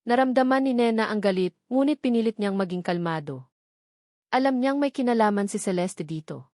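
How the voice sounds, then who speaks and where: A woman's voice: a little high, animated, brisk, darkish tone, dry recording, clean studio sound. A woman reading scripted, dramatic narration of a romance story as a storyteller.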